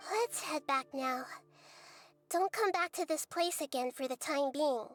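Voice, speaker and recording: Young goddess, very high voice, calm, soft-spoken, game scene, music behind. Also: female voice, unhurried. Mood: gentle. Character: gentle wise young goddess in girl form. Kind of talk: video game voice acting. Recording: game audio with background music.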